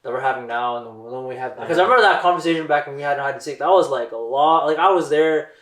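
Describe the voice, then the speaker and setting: A man's voice: on the high side, quick pace, fairly bright tone, reverberant. A young Canadian man, a guest DJ, chatting casually on a podcast and filling his talk with "like" and "you know". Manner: laid-back, lots of "like" and "you know".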